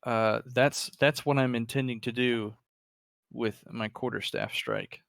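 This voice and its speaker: A man's voice: mid-range voice, fairly even intonation, unhurried, vocal fry, fairly bright tone, no echo, studio quality. A man chatting casually as a player in a tabletop game, thinking aloud and repeating his words as he works out a move.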